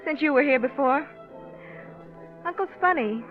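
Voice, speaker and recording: A woman's voice: high-pitched, expressive, unhurried, faint music behind. A woman acting as a trusting but despondent young wife in a 1940s radio drama, with a hesitant, wistful manner. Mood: resigned, anxious. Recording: dull lo-fi old radio recording.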